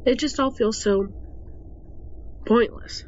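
A woman's voice: fairly high pitch, slow, darkish tone. An actress playing a weary, unhappy young woman in an audio drama, hesitant and exasperated. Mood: downcast, defensive. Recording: dull, slightly noisy recording with ambience.

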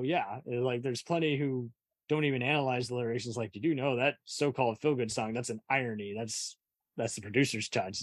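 A man's voice: medium pitch, no echo. A man hosting a casual interview, rambling through his opinions like a keen film buff.

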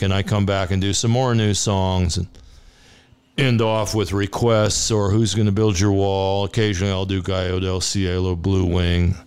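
Male singer-songwriter, interview guest. Low, very smooth resonant voice, casual self-assured storyteller.

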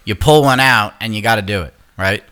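A man co-hosting a podcast, talking in casual, animated banter and swearing often. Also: on the low side, brisk, clear, resonant tone. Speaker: podcast co-host.

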